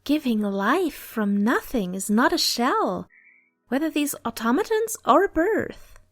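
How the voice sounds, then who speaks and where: A woman's voice: high-pitched, very animated, dry recording, clean studio sound, soft background music, full character voice. A woman acting a composed, reasoning goddess of life and death in a fantasy audio drama, articulate and challenging. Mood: firm, composed. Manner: articulate, challenging, measured wording.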